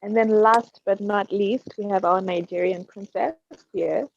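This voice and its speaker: A woman hosts an online discussion panel in a friendly, lightly teasing way. Her voice comes through a dull, dry video call mic, and she pauses now and then on an um. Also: medium pitch, fairly even intonation, creaky, darkish tone, dry recording. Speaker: podcast host. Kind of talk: casual online panel chat.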